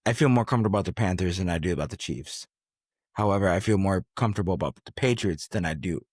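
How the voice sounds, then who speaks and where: A man with a deep voice hosts a casual sports podcast. He talks in loose, slangy banter like he is among buddies, and the sound is close and dry. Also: fast, slightly rough, no room sound. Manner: slangy, bro-ish banter. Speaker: podcast host.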